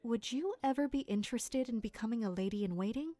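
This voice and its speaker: A woman's voice: fairly high pitch, animated, a little fast, warm tone, dry recording, natural voice. A woman playing a friendly, candid young bride-to-be of good standing in an audio drama, chatty and full of questions. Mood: warm, chatty.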